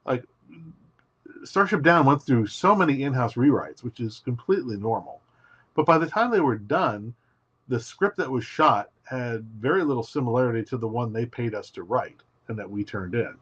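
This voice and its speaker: A man being interviewed as a guest writer, telling his story in an emphatic, animated way. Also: medium pitch, normal speed, breathy edge, lo-fi sound. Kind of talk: casual interview.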